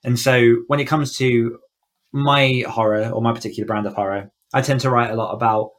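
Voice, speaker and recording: A man's voice: medium pitch, fairly even intonation, a little fast, fairly bright tone. A man speaking casually and reflectively as an interview guest, feeling out his phrasing in a thoughtful way. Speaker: guest author on a podcast.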